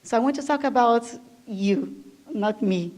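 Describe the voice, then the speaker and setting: A woman's voice: fairly high pitch, a little slow, darkish tone. A woman from Brazil whose first language is Portuguese, giving an enthusiastic, chatty conference talk to a live audience, with frequent fillers. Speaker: guest speaker. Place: event room with live audience.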